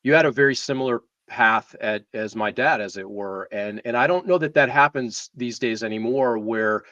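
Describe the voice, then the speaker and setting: A man with an American accent, co-hosting and casually interviewing a guest in a halting way, repeating words. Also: medium pitch, fairly bright tone, no room sound. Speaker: show co-host interviewing a guest. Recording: muffled, somewhat distant microphone.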